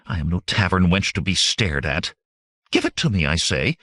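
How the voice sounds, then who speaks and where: A man's voice: low-pitched, very animated, normal speed, bright, forceful tone, dry recording, clean studio sound, full character voice. A man voicing a pampered, indignant young noblewoman in an audiobook, giving clipped, imperious commands. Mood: indignant, commanding.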